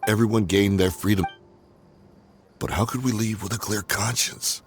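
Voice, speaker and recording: A man's voice: on the low side, steady tone, moderate pace, faint music behind, close to an everyday voice. A man playing a grim desert outlaw in a video game. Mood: sombre. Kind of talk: English video game voice acting.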